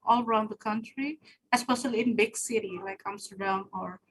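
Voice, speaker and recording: A woman's voice: medium pitch, fairly even intonation, brisk, slightly rough, poor audio. A woman hosting a webinar over a video call, mostly giving brief acknowledgements and asking short follow-up questions. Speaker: webinar host. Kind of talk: interviewing in a webinar.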